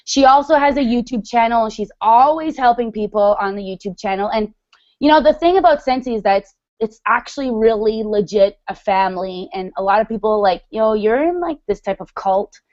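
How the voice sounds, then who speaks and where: A woman around thirty hosts an online hangout, gushing and upbeat. Her voice sits a little high and comes through dull and lo-fi over a video call, with a dry, close sound. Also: a little fast, a little mellow, no echo. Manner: effusive and upbeat, heavy use of "super". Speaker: host.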